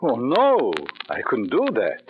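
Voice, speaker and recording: A suave, vengeful doctor and jealous husband in 1940s radio drama style. He is sardonically polite, with lively intonation and quiet menace. The old recording is narrow and faintly noisy. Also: medium pitch. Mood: coldly amused. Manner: mockingly courteous, measured, sardonic. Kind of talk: 1940s radio thriller acting.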